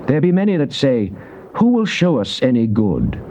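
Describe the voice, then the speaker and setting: Mellow, solemn British devout psalmist. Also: male voice, medium pitch, very animated. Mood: solemn, trusting. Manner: solemn, declamatory, measured phrasing. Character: devout psalmist pleading and praising God. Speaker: actor reading psalms. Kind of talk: dramatized scripture reading, classical stage delivery. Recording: dull, noisy old cassette tape.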